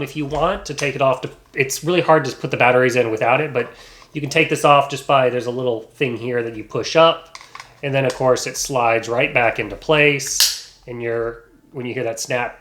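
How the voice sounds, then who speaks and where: A man with a mid-pitched voice recorded in a roomy, echoey space. He talks through a product casually and without a script, halting and hesitating as he explains each feature. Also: fairly bright tone, slight background noise. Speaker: product reviewer. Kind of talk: casual unscripted product review.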